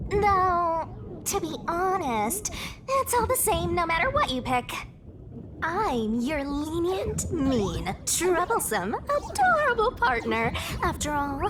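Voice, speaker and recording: A woman's voice: very high voice, very animated, slow, noisy background, heavily acted. A woman voicing a cutesy, mischievous little spirit creature in a video game, teasing, bossy and playfully menacing. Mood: smug teasing. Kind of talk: animated video game character acting.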